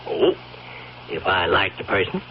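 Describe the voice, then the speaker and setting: A man's voice: low-pitched, a little slow. An actor playing a sly, folksy stable hand turned blackmailer in a radio drama, rambling and insinuating as he wheedles. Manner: folksy, rambling, insinuating, chuckling drawl of hesitations. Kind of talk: old radio drama acting. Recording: dull, hissy old radio recording.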